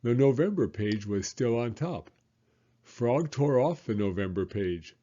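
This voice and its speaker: A man in his fifties, an elementary school teacher, reading a children's story aloud in a gentle, lively way. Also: mid-range voice, measured pace, highs cut off. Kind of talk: children's read-aloud narration.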